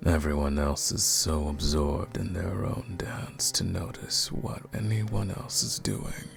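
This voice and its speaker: A man playing a suave, predatory aristocratic vampire in an intimate ASMR roleplay, speaking formally and seductively with a teasing menace and breathy drops toward a whisper. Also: low-pitched, slow pace, faint music behind, heavily acted.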